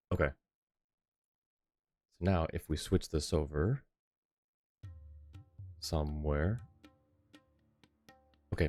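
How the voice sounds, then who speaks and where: A man's voice: rumbling low, darkish tone, dry recording. A man casually chatting and commentating on a game livestream, thinking aloud in a rambling way. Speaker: game streamer and host. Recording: music playing behind the voice. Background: game music.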